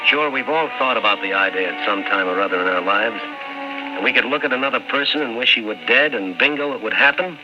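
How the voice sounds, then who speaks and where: A man's voice: mid-range voice, brisk, natural voice. A man playing a wry, skeptical storyteller in an old-time radio drama, with dry, world-weary delivery. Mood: wry narrating. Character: wry, skeptical male night-beat storyteller. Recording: dull old radio recording with music.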